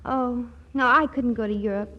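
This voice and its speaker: A woman's voice: fairly high pitch, fairly even intonation, moderate pace, close to an everyday voice. An actress playing a sweet, guileless young woman with an American accent in a 1940s radio comedy. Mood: coy. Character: naive, sweet, earnest young woman in love. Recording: dull, noisy 1940s radio recording.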